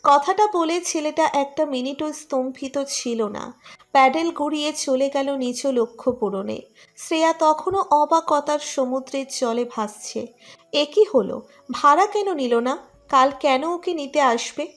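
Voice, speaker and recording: A woman's voice: high voice, a little slow, soft background music. A woman reading a scripted romantic story as narrator.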